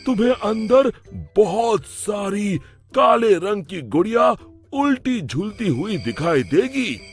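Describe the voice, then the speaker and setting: Guy doing a holy hermit man, stern n protective, pitched up, slow deliberate cartoon delivery. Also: full character voice. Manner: commanding, deliberate. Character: stern protective male hermit sage giving instructions. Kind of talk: Hindi cartoon moral story voice acting.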